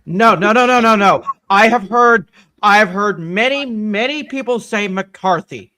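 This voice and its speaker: Male livestream guest, high-pitched, slow pace, bright tone, casual chat, repeats phrases.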